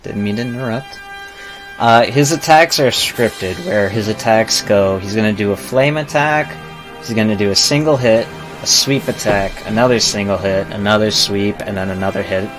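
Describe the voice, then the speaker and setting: Low-voiced man streaming a game. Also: normal speed. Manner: explanatory, self-deprecating. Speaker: streamer, speedrunner. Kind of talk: casual live game commentary. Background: faint game music.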